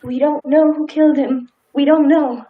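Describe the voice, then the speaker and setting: Muffled radio drama role: timid, dutiful wife, played by a woman, high soft-toned voice, hesitant and grieving. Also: fairly even intonation, normal speed, natural voice. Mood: haunted, dutiful. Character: timid, dutiful sheriff's wife, haunted by grief. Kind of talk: stage-like radio play acting. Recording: slightly dull, muffled sound.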